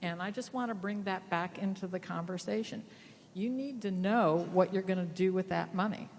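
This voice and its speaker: A woman's voice: medium pitch, moderate pace, a little mellow, a little hiss or hum. A woman investor and entrepreneur giving direct advice on a panel. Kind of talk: panel discussion. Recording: reverberant hall with faint ambience.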